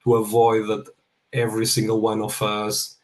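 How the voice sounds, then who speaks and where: A middle-aged man with a Portuguese accent speaks as a guest in an interview. His voice is low and flat in intonation, and his delivery is halting, with repeated words as he introduces himself. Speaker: guest speaker, project controls professional.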